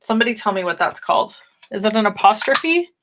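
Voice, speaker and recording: Slightly raspy woman hosting a craft stream. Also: mid-range voice, animated, fast talker, a bit bright, a little hiss or hum. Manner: chatty, friendly, greets viewers by name. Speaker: stamping demonstrator hosting a live stream. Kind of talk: casual live craft tutorial.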